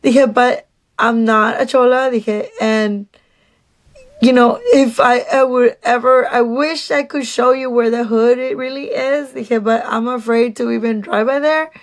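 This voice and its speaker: A woman with a Mexican Spanish accent, chatty and animated, telling a dating story to her phone camera with many restarts. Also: fairly high pitch, unhurried. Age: adult. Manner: slips into Spanish "dije". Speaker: dating vlogger.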